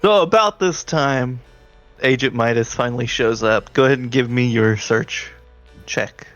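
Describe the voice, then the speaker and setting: A man's voice: mid-range voice, measured pace, fairly bright tone, muffled recording, faint music behind. A man improvising narration as a tabletop game master, talking conversationally and directly to his players.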